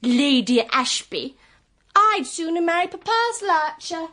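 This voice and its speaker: A woman's voice: very high voice, big pitch swings, slow, full character voice. An actress playing a blunt, boisterous, unladylike Victorian teenage girl in a period audio drama. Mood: brash, defiant. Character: brash, coarse-tongued tomboy teenage girl of the gentry.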